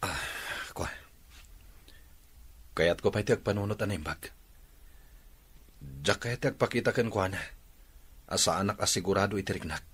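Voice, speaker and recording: A troubled young Filipino son in a radio drama. He speaks in a subdued, hesitant way, with a bright edge to his voice. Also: sits low, measured pace, long pauses, husky, heavily acted.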